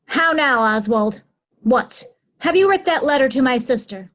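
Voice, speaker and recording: Scheming royal daughter, imperious and suspicious, high-pitched, very measured classical delivery female actor. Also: expressive, fairly bright tone. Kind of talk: Shakespearean reader's theatre acting.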